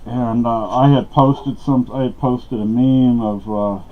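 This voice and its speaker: Middle-aged man from Texas, chatty and meandering, recorded in a dark room on a dull-sounding camera mic. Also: medium pitch, steady tone, slow pace, a little hiss or hum. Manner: meandering, many fillers and restarts. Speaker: vlogger. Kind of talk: rambling casual talk to camera. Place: small dark room at home.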